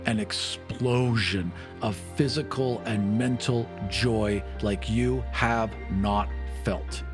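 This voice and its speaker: A man narrating a tabletop game as game master, with emphatic, vivid and deliberate storytelling. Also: lowish voice, fairly even intonation. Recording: music bed behind the voice.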